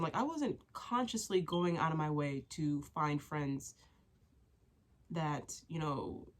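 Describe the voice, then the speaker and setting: A young woman chatting candidly to her viewers, stuffy and sniffling from a cold, rambling with lots of fillers and restarts. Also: low-pitched. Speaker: vlogger. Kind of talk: casual chat to camera.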